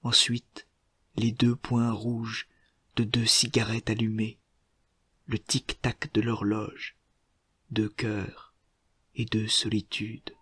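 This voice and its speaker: A man reciting literary poetry in a solemn, measured manner, setting short phrases apart with deliberate pauses. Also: on the low side, completely flat delivery, fairly bright tone, husky, dry recording. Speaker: poetry reader.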